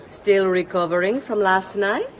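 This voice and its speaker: A woman's voice: mid-range voice, unhurried, slight background noise, lightly acted. An actress playing a refined, wry Italian mother of noble family in a video game, with an Italian accent. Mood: wry, composed. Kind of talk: video game cutscene acting.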